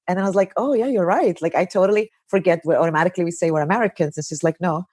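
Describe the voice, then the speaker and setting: Low-voiced chatty woman host, dry sound. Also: very fast, dry recording. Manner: chatty, animated storytelling with many "you know" and "like". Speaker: podcast host, psychologist. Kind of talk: casual conversational interview. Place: living in Kuwait.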